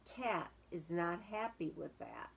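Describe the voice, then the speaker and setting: A woman reading a picture book aloud to young children in an expressive, emphatic way, with explanatory asides. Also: lowish voice, creaky, breathy edge. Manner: deliberate, emphatic, dramatic word-by-word delivery.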